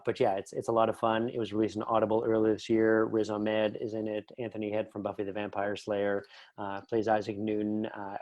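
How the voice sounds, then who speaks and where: Man, slightly deep voice, writer as podcast guest, casual explaining, enthusiastic, hesitant phrasing. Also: darkish tone.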